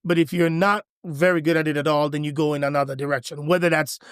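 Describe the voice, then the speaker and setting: A man's voice: on the high side, fast talker, clean tone, no echo, studio quality. A middle-aged man from Jamaica, a pastor telling stories with energy as a podcast guest. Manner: enthusiastic, animated storyteller. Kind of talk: podcast interview conversation.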